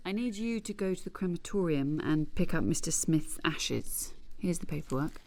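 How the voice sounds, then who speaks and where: An actress playing a brisk, dismissive office boss in an audio drama. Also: female voice, lowish voice, animated, mellow. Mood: businesslike.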